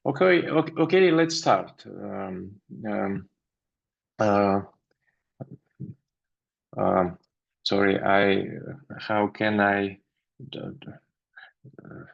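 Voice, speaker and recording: Slow, gravelly Russian-accented man. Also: fairly low pitch. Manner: hesitant, many fillers and restarts. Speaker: dental lecturer presenting a webinar. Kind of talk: halting webinar presentation. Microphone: video call. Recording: slightly dull video call audio.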